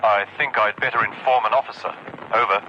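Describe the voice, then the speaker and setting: An actor playing a calm, official police controller heard over a radio in a vintage radio drama. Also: male voice, lowish voice, fairly quick. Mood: official, concerned. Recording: dull police radio, loud noise.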